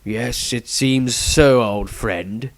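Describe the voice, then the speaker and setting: Storybook elder wizard, voiced by a male narrator: mid-pitched, deliberate, formal, sympathetic authority. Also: dry recording. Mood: questioning. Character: old male wizard patriarch, stately, measured, sympathetic.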